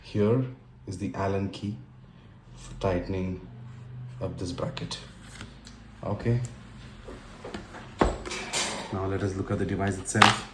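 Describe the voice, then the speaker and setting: Low-voiced Indian man in an echoey room. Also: level tone. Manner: unscripted, halting, phrases broken by pauses. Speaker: tech and motorcycle vlogger. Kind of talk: casual unboxing narration. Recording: roomy echo with faint background noise.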